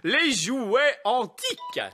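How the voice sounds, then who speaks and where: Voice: high-pitched, big pitch swings, very slow, sharp tone, heavily acted. Une voix de dessin animé qui joue un propriétaire de château maniéré et pompeux, à la diction précieuse. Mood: pompous, proud. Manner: pompous, precious, formal diction. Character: fussy, snobbish castle owner showing off treasures. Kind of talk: animated children's series dubbing.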